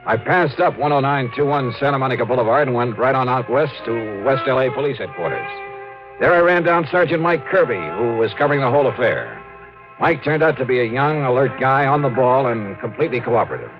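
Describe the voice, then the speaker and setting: Male actor as shrewd noir insurance investigator, American, wry and clipped, animated pitch swings, muffled vintage radio sound. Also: fairly quick, natural voice. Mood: wry narrating. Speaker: lead actor and narrator. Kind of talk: 1950s-60s radio noir acting, narration and dialogue. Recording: muffled old radio recording with music.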